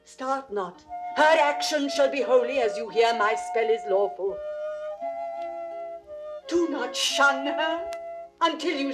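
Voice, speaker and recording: Slow, commanding British noblewoman. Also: fairly high pitch. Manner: stately, incantatory, deliberate phrasing. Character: commanding, solemn noblewoman working a solemn wonder. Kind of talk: classical 1960s Shakespearean recorded drama acting.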